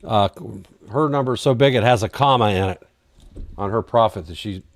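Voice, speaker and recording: Low-voiced man losing his voice. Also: brisk. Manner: chatty, answers viewer questions while reading charts. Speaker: live trading stream host. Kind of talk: casual live market commentary.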